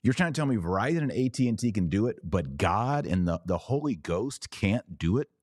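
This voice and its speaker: A man with an American accent speaks in a deep register, recorded close and dry. He hosts a podcast of informal religious teaching, explaining points with firm emphasis. He often poses a rhetorical question and then answers it himself. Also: big pitch swings, fairly quick, a little mellow, husky, no room sound. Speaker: podcast host answering viewer comments.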